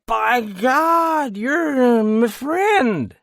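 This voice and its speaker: A sloppy, boisterous drunk young American man, slurring and rambling in a much higher, louder, drawn-out voice. His pitch swoops wildly, and the sound is dry and close. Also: lively intonation, no room sound.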